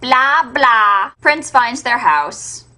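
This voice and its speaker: A woman with a fairly high voice narrates like an irreverent comic storyteller. Her delivery is sarcastic and sweary, in the scripted style of a web show host. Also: lively intonation, drawn-out words, bright, forceful tone. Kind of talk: comedic irreverent fairytale retelling, scripted narration.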